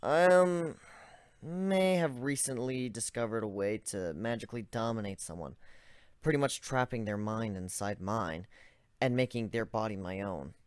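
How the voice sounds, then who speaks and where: A man's voice: on the low side, moderate pace, smooth, no echo, natural voice. A man voicing an easygoing young male griffin who talks in a joking, offhand way. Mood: casual.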